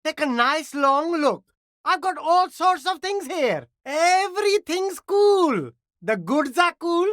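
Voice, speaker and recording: Casting: high-voiced game street hustler, slick, eager, swooping delivery, flattering slangy patter, dry close sound. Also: takes it slowly, dry recording, exaggerated voice. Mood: easygoing sales patter. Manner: salesman's patter, flattering, laid-back slang. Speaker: street vendor character in a video game. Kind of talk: video game character dialogue.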